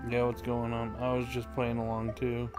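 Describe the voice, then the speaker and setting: A woman's voice: extremely low pitch, completely flat delivery, normal speed. A woman streamer reacting to a game in a casual, offhand, slangy way. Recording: loud game music behind the voice.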